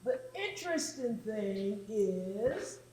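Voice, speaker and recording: Echoey, slow, emphatic woman preacher. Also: mid-range voice, very animated, noisy background. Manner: emphatic, repeats phrases for effect. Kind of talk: call-and-response church sermon. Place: large echoing room with an audience. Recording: echoey hall with congregation responding.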